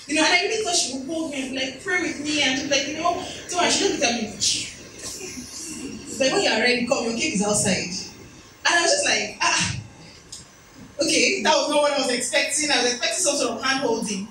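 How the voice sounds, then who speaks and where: A woman's voice: high-pitched, lively intonation, normal speed. A woman in her thirties giving a motivational talk at an event, telling personal stories with chatty, self-deprecating asides. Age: 30s. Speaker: speaker. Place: event hall. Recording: echoey room from far off, noisy.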